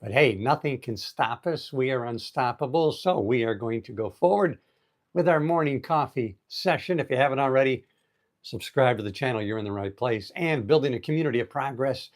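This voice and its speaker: A man's voice: mid-range voice, normal speed. A man in his sixties hosting a casual motivational livestream outdoors on an island, upbeat and chatty as he greets viewers. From United States. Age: 60s. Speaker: livestream host. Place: outdoors on an island in heavy rain. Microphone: phone held in vertical mode.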